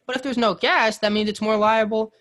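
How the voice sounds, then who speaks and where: A man's voice: high-pitched, fairly even intonation, fairly quick, a bit bright. A young American man chatting casually, swearing freely and ranting. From United States. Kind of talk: casual chat.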